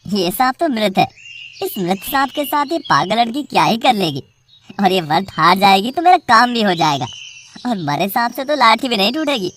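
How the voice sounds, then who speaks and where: A woman's voice: medium pitch, big pitch swings, normal speed, a bit bright, a little hiss or hum. A woman voicing an arrogant young man, a priest's son, in a cartoon story, speaking in a bossy, scornful tone. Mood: scheming.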